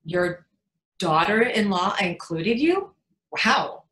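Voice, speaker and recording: A woman's voice: medium pitch, slow pace. A woman acting a wry, blunt, seasoned mother of a bride in a readers theatre play, with knowing, emphatic delivery. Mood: polite, chatty. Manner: emphatic, knowing, deadpan punchlines. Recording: echoey room.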